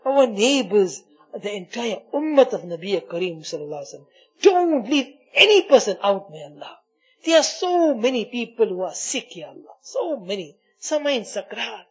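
A man leading an impassioned Islamic supplication, pleading and repeating his invocations, swinging between hushed murmurs and loud cries. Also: sits high, husky. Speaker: religious leader leading a supplication. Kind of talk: impassioned spoken Islamic prayer. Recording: muffled, dull lo-fi audio.

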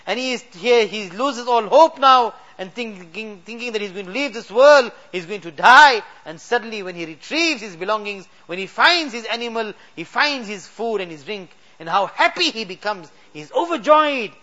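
A man's voice: sits high, lively intonation, moderate pace, hardly any pauses, bright, forceful tone. A man leading a fervent late-night supplication as an imam, pleading with constant repeated refrains. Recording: dull lo-fi recording.

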